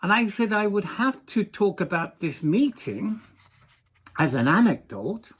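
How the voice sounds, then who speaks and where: An older Englishman telling a rehearsed anecdote in a put-on Welsh accent, with deliberate, singsong phrasing. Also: high-pitched, very animated, breathy edge. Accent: English speaker putting on a Welsh accent. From England.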